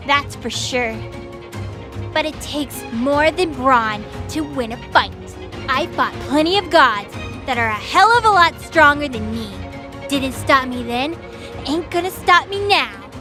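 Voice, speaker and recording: An actress playing a tough, cocky young crow goddess in an audio drama, with a slangy, challenging manner. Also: female voice, high voice, expressive, a little slow, music in the background.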